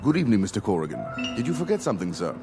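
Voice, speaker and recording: An actor in a radio play voicing a polite, proper club attendant, formal and deferential. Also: male voice, on the low side, sing-song, very fast. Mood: courteous. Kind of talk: dramatic radio play acting. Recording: music bed behind the voice.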